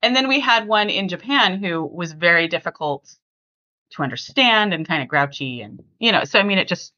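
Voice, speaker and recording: A woman hosting a casual family podcast, chatting conversationally and thinking out loud as she talks. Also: on the low side, expressive, moderate pace, highs cut off. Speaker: podcast host.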